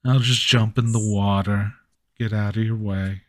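A man voicing a gentle, naive, sad scarecrow with halting speech in a tabletop game. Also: on the low side, steady tone, dry recording, clean studio sound, pitched below the performer's own voice, natural voice. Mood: meek, simple. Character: simple, sad, gentle living scarecrow, missing its head.

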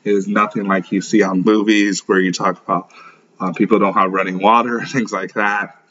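Low-voiced man, dull lo-fi audio. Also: flat delivery, normal speed, fairly bright tone. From born in Lagos, Nigeria; grew up in St. Louis, USA. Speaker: podcast host. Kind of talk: casual solo podcast introduction. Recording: dull, muffled lo-fi podcast audio.

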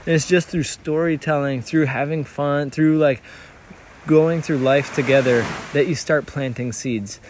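A man's voice: medium pitch, a little mellow, slight background noise. A man who grew up in Tanzania, talking earnestly as a missionary in an interview, telling stories with frequent restarts. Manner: earnest, storytelling, many restarts. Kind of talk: conversational interview.

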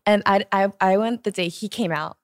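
A woman's voice: mid-range voice, moderate pace, clear, resonant tone, dry recording. A woman in her twenties from Southern California, chatting as a guest on an interview show. She laughs often and talks in a chatty way. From United States, Southern California. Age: 20s. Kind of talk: casual interview chat.

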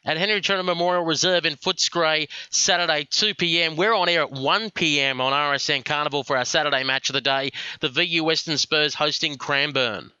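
A brisk Australian man presents a radio sports program, his voice bright and forceful and very crisp. The studio sound is dry and a little dull. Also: a little high, no room sound. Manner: brisk and announcer-like. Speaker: radio show host.

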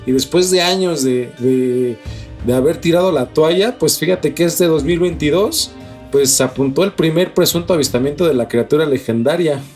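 A Mexican man with a somewhat high-pitched voice co-hosts a mystery news program. He reads scripted items and slips into informal, slangy conversation. Music plays behind him. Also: normal speed, darkish tone. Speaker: news co-host. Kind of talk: scripted mystery news narration with casual banter.

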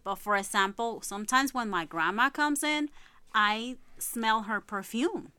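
A woman's voice: a little high, unhurried, bright, forceful tone, smooth, no echo. A woman from Puerto Rico, a guest medium speaking earnestly and matter-of-factly in a casual podcast chat.

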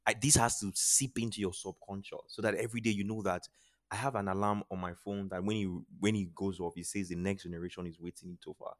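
A man with a Nigerian accent speaking as a podcast guest in an earnest, motivational way, repeating phrases for emphasis. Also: sits low. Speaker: podcast guest, charity founder. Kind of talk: motivational interview talk.

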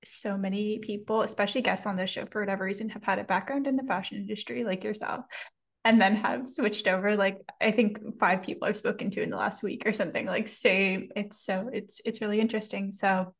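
A woman hosting a podcast interview, asking questions in a friendly, relaxed way. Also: mid-range voice, brisk. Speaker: podcast host. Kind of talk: casual interview.

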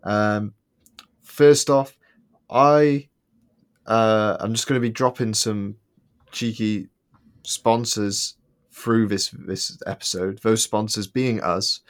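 Low-voiced guy hosting a podcast, rambly, lots of ums, jokey. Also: fairly even intonation, slow, long pauses. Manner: rambling, many ums and restarts, joking. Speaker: podcast co-host. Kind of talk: casual podcast chat.

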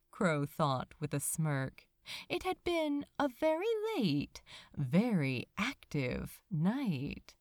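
A woman reads scripted fiction aloud like an audiobook. Her delivery is unhurried and measured, with widely varied, expressive intonation. The recording is dry and close, at a medium pitch. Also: no room sound. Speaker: fan fiction audiobook reader. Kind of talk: scripted story narration.

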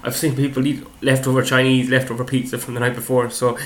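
A young man talks at a brisk pace, hosting his own fitness show. His voice is a little croaky from a cold. He rambles loosely and swears now and then in a casual way. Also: medium pitch, flat delivery. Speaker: fitness podcast host. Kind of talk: casual solo chat.

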